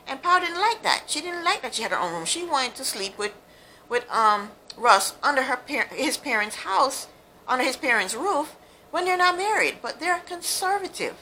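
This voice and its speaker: A woman's voice: high voice, very animated, moderate pace, sharp tone, slight background noise, low-quality recording. A woman from Jamaica giving a chatty, frank, opinionated recap of a reality show, with plenty of ums and asides. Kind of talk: casual monologue, opinionated recap.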